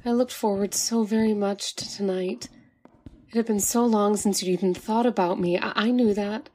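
A husky, mid-pitched voice plays a woman acting out a loyal young woman trapped underground. She pleads breathlessly, in the style of a vintage radio thriller. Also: steady tone, normal speed, darkish tone, natural voice. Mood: wistful. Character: devoted, frightened young woman in a tunnel. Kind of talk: old-time radio drama acting.

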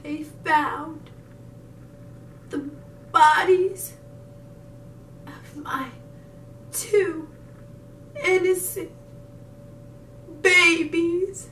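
Casting: actress as unhinged bereaved mother, stage monologue, very slow, emphatic delivery, high voice, roomy sound. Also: steady tone, heavily acted. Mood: hushed, bitter. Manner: halting, emphatic, swinging between hushed and wailing. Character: grieving, unhinged mother confronting her psychiatrist. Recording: roomy, distant mic, noisy with rumble.

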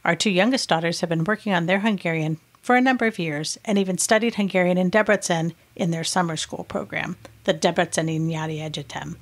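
A middle-aged American woman hosting a podcast, reading a scripted narration in a clear, patient, explanatory way. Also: fairly low pitch, a little fast, hardly any pauses. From United States. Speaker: podcast host.

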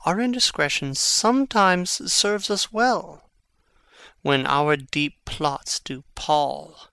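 An actor playing an intense, brooding young prince in a classical Shakespearean dramatic reading, with theatrical, emphatic phrasing. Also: male voice, a little high, takes it slowly, gravelly, sharp tone, smooth, no room sound, heavily acted. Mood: reflective, confiding.

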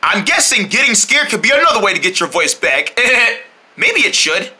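A performer voicing every character in a homemade paper puppet skit, jumping between squeaky and low put-on voices with lots of shouting and crying. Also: very animated, normal speed.

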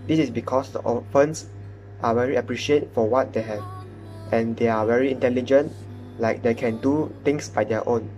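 A man's voice: mid-range voice, steady tone, moderate pace, darkish tone, faint music behind, poor audio. A young man, a student, reading an earnest reflection in simple words, close to the camera. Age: young adult. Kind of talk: read-out reflection. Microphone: front camera, close.

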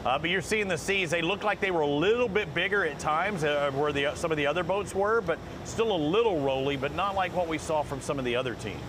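A man's voice: on the high side, brisk, hardly any pauses, noisy background. A middle-aged man hosting a fishing show from a TV studio, chatting casually and reading out catch alerts. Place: TV studio set.

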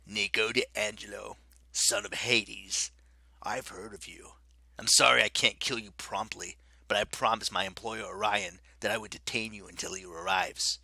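Man doing a low, silky werewolf king. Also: fairly even intonation, moderate pace, creaky, dry recording, exaggerated voice. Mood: mocking. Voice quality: low, quiet, silky menace. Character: menacing ancient werewolf king, mocking.

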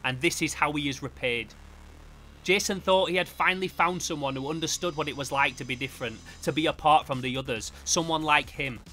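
A man voicing a somber horror comic narrator in a hushed, grave manner. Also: a little high, brisk, faint music behind, pitched below the performer's own voice, poor audio. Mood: brooding.

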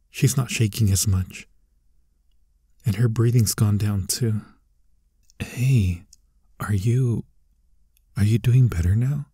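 A man's voice: mid-range voice, husky, dry recording, studio quality. A man playing a tsundere young bully, teasing but secretly caring, in an ASMR roleplay with a hesitant delivery. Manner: hesitant, stammering, softly spoken asides.

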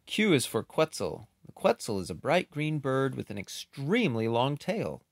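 A man reading a children's picture book aloud in an expressive, unhurried way, with casual, playful asides. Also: medium pitch, gravelly, dry recording, studio quality.

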